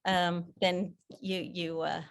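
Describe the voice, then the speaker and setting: A woman's voice: lowish voice, level tone, slow, creaky. A woman whose first language is French, speaking English with an American-sounding accent, chatting in an interview about her voice-acting work with frequent restarts. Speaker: guest, voice actor and theater producer. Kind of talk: conversational interview.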